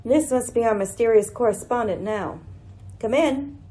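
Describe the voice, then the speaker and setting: A woman playing a coolly commanding lady detective in a radio mystery, measured and probing with dry irony. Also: fairly high pitch, dark tone, slight background noise, natural voice. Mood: thoughtful. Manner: measured, probing, dryly ironic. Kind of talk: old-time radio mystery acting.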